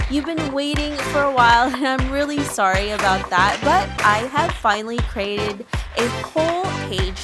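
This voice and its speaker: A woman hosting a podcast, moving between scripted introductions and upbeat interview chat. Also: a little high. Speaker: podcast host.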